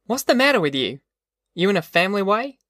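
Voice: medium pitch, lively intonation, moderate pace, a bit bright, dry recording, studio quality, voice pushed up, natural voice. A reader voicing a cocky, evasive young country man with an offhand rustic drawl in an audiobook story. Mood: teasing.

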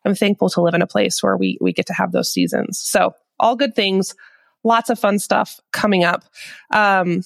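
A woman's voice: medium pitch, moderate pace, vocal fry, no room sound, studio quality. A woman podcast host with a head cold, talking in a nasal, upbeat and chatty way to her listeners. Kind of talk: casual podcast chat.

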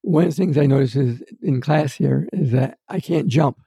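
Soft raspy older man, gravelly voice. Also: a little high, normal speed, dry recording. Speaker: interview guest, retired dentist. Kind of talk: casual interview answers.

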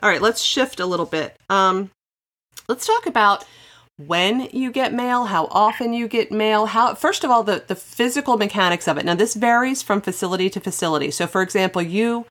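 A woman's voice: medium pitch, moderate pace, fairly bright tone. A woman hosting a conversational podcast interview in an encouraging, affirming way. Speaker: podcast host.

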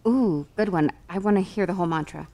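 A woman's voice: on the low side, brisk, dark tone. A woman acting in a fiction podcast as a cocky, playful and fiercely supportive wife, with a casual, emphatic, teasing delivery.

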